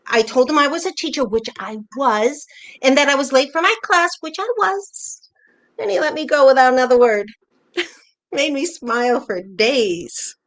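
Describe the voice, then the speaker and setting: A woman plays an older wife in a short stage play, reminiscing in a fairly high voice. She is playful and a little bossy, with a teasing, conversational and nostalgic way of talking. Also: animated, normal speed, fairly bright tone. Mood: amused.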